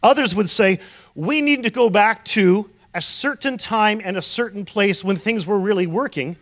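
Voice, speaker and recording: A man's voice: high-pitched, moderate pace, fairly bright tone. A man from Canada preaching a sermon, telling stories and asking rhetorical questions. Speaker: pastor.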